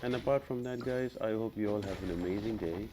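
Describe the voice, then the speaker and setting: A man's voice: fairly low pitch, a little fast, noisy background. A man hosting a gaming guide, talking viewers through tactics in an unscripted, instructional way. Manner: instructional, addresses viewers as guys. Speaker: gaming video host. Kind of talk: unscripted game guide commentary.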